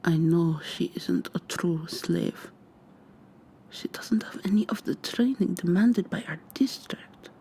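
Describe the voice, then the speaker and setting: A woman playing a stern, guarded authority in a vampire district, with a breathy, whispery, measured warning delivery in ASMR roleplay. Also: fairly low pitch, warm tone, heavily acted. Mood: cautionary, curt. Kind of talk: intimate ASMR roleplay acting.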